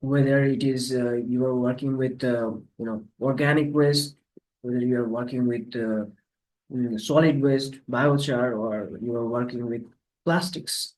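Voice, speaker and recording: A man hosting an online webinar, explaining its topics in a measured way. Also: mid-range voice, steady tone, darkish tone, low-quality recording. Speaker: webinar host. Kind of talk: webinar presentation.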